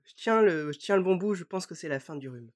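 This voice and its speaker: A woman co-hosting a casual podcast, friendly and quick to laugh, her voice stuffy from a cold. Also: lowish voice, animated, darkish tone. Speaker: podcast co-host.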